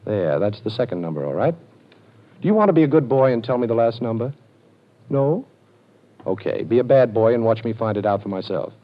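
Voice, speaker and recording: A man playing a calm, dryly amused detective with an ex-con past in a vintage radio drama. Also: mid-range voice, brisk, close to an everyday voice. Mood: cool. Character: cool wry ex-con detective, male adult, posing. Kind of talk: 1940s radio acting. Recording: dull old radio broadcast.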